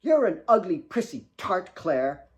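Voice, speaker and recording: A man voicing a defiant, sharp-tongued fourteen-year-old girl who spits out heated complaints. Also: high voice, big pitch swings, a little slow, lo-fi sound, pitched above the performer's own voice. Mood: angry, indignant.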